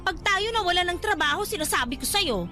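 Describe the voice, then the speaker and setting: Radio drama part: nagging, sensible young Filipino woman, very high piercing voice, exasperated and cautionary. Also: expressive, fast talker, pushed and bright, heavily acted. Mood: scolding. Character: nagging, sensible female cousin and resort co-worker. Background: music bed.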